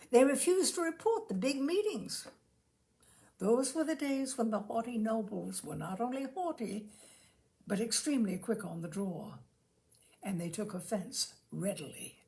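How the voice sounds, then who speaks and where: Animated, creaky-voiced woman reading aloud. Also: on the high side. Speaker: storyteller reading a humorous essay aloud. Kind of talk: literary audiobook-style reading of a comic essay.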